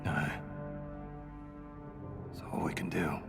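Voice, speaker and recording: An actor playing a gruff, battle-hardened commander in a video game, terse, deadpan and sardonic. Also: male voice, rumbling low, takes it slowly, over loud music, full character voice. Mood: grim. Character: gruff, skeptical male soldier commander, battle-hardened and dry-witted. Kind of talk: video game cutscene acting. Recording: long hall reverb.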